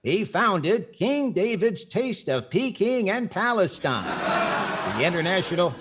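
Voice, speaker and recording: Lively high-pitched man playing a wry radio comedian. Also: unhurried, very noisy. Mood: mock-serious. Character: wry radio comedian narrating a tall tale. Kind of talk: 1940s radio comedy acting. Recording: music behind the voice.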